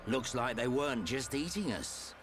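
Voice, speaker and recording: An actor with a British accent playing a laid-back, shrewd, matey fighter in a video game, colloquial and offhand. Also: male voice, medium pitch, expressive, moderate pace, lightly acted. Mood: wry, casual. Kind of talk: video game cutscene acting. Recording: loud music behind the voice.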